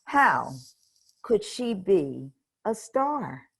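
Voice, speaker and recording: Slow, gentle woman reading a storybook. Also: mid-range voice, big pitch swings, creaky, breathy edge. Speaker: storybook reader. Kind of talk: children's picture book read-aloud.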